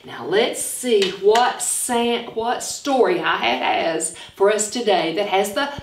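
A middle-aged woman teaching phonics and telling a story to young children, animated and carefully enunciated. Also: medium pitch, takes it slowly. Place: small classroom, indoors. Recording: roomy classroom, mic at a distance.

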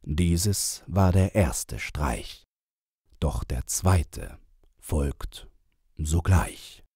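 A man with a low voice reads rhyming children's verse. He is an expressive storyteller who takes his time and moves through lively swings of pitch. The sound is close and dry. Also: very slow, husky, no echo. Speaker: audiobook narrator.

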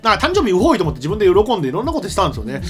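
A man's voice: high voice, very fast. A middle-aged man hosting a talk show, chatting casually in a polite but jokey manner. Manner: animated, polite but jokey, frequently chuckling. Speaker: show host. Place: small studio set. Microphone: desk microphone on a stand. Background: background music.

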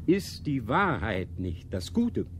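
An actor playing a stern, dogmatic inquisitor in a radio drama, measured and didactic, posing rhetorical questions. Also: male voice, on the low side, big pitch swings, background noise. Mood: stern, lecturing. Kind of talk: literary radio drama acting.

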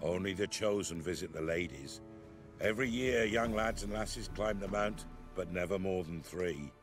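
A man's voice: sits low, moderate pace, music in the background, natural voice. A man playing a solemn, devout peasant elder in a fantasy game, speaking in archaic rustic phrases. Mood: reverent. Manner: archaic, reverent rustic speech. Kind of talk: fantasy video game acting.

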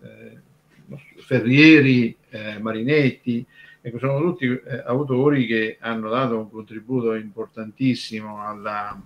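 A man from Terni explains things in an informal radio discussion, halting and discursive, stressing key words. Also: mid-range voice, steady tone, dull audio. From Terni, Italy.

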